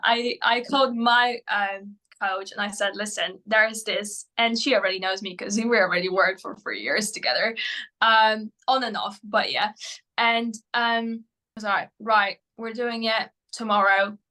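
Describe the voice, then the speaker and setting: A woman whose first language is Polish, a mental performance coach answering interview questions in English, often pausing with fillers and restarting her sentences. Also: mid-range voice, bright, forceful tone, poor audio. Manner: hesitant, many fillers and restarts.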